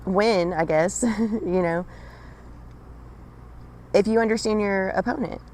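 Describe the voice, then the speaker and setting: A woman with a raspy voice giving a casual channelled spiritual message, talking straight to the listener with frequent "right?" and "okay?". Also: medium pitch, takes it slowly, a little mellow, slight background noise.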